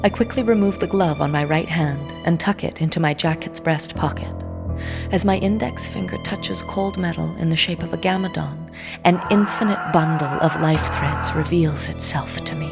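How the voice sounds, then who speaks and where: An actress voicing a young woman seer who reads the blood history of objects, narrating in the first person with intense, hushed delivery. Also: sits low, moderate pace, gravelly, music in the background. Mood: tense. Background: orchestral score under the voice.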